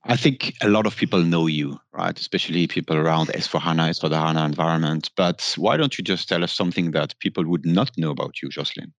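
Deep-voiced man hosting a podcast. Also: quick pace, hardly any pauses, no room sound. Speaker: podcast host. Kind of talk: corporate podcast interview.

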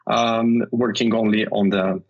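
Man from Slovenia, rough husky timbre, hesitant interview talk, muffled remote sound. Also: lowish voice, flat delivery, a little slow. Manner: hesitant, many fillers and restarts. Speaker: guest, telecom industry manager. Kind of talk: conversational interview.

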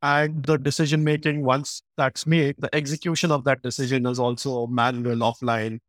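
A man's voice: mid-range voice, level tone, moderate pace, no echo, clean studio sound. A man speaking as an expert guest in an interview, explaining things in a structured, methodical way. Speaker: guest expert, supply chain practice lead.

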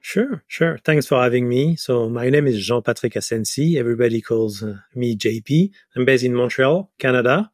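A man's voice: mid-range voice, normal speed, hardly any pauses, no room sound, studio quality. A middle-aged man with a French accent giving explanatory answers as a guest in a conversational interview. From France. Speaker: podcast guest, business software advisor.

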